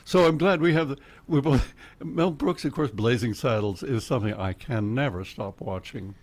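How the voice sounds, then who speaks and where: A man's voice: mid-range voice, normal speed. Rambling older Oklahoma man. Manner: rambling storyteller, laughs often, jumps between topics. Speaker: guest. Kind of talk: casual radio chat. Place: radio studio.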